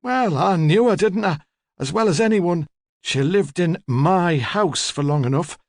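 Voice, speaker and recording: A man voicing a haughty, demanding old woman with a hoarse, rasping voice, in an audiobook story. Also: high-pitched, expressive, normal speed, darkish tone, dry recording, studio quality, pitched above the performer's own voice, exaggerated voice. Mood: haughty, pointed. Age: older. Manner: demanding, curt, imperious. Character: sharp, haughty, opinionated old woman, large and deaf.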